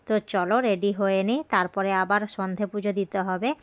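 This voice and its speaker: A woman's voice: medium pitch, little pitch movement, fairly quick. A young woman, chatting casually in a rambling way as she records a daily vlog. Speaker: vlogger.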